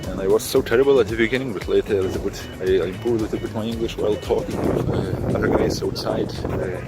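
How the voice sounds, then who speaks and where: Lithuanian man talking over loud music. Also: lowish voice, low-quality recording. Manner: halting, searching for words, with repeated restarts. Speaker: vlogger. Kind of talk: casual personal storytelling.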